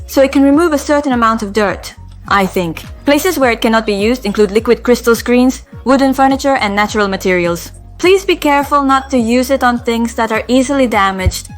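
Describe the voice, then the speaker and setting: A woman with a slightly hoarse voice, calmly and methodically explaining household products in a review vlog. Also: a little high. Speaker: home organizing vlogger.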